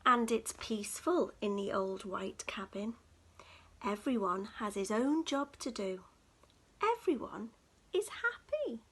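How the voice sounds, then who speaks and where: A woman reading a picture book aloud to young children in an expressive, dramatic storytelling manner. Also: on the high side, slow pace, breathy edge. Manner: dramatic, with hushed whispers and gasps.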